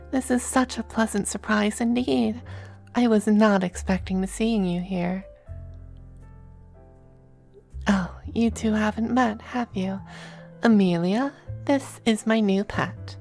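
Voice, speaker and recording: Woman as a slow, creaky, suave demon femboy. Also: mid-range voice, a little mellow. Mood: delighted, proud. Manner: genteel, languid, commanding endearments. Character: suave possessive high-ranking demon femboy, affectionate and teasing. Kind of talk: ASMR roleplay.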